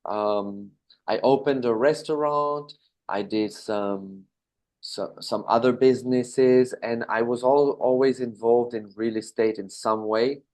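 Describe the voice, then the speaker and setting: A man in his thirties from Belgium with a Belgian accent, answering interview questions in a relaxed, casual way, with fillers and self-corrections. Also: medium pitch, slow pace. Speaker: interview guest, business owner.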